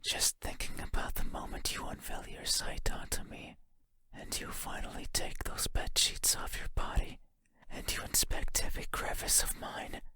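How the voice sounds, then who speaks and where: A man voicing a lonely, yearning monster for a horror game, in a breathy whisper with a halting, intimate manner. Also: bright, forceful tone. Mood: eager longing. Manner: halting, intimate, musing, formal phrasing. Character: lonely wordless monster lurking in the dark, yearning, eerie. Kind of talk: video game horror monologue.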